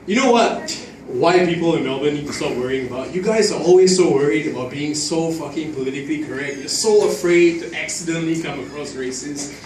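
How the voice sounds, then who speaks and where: A man's voice: a little high, a little fast, few pauses. A man from Singapore, doing a crude, profanity-laden open-mic stand-up set. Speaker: stand-up comic. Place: small comedy club at an open mic night. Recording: echoey, distant club audio with crowd noise.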